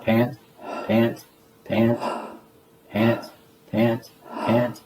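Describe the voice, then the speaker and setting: A man reading a children's storybook aloud in a theatrical, deliberate storytelling manner. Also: medium pitch, completely flat delivery, noisy background. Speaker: storybook reader. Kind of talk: expressive children's read-aloud narration.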